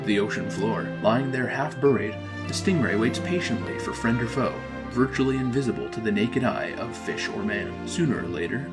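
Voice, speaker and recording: A man reading scripted narration as a narrator, with music behind his voice. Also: medium pitch.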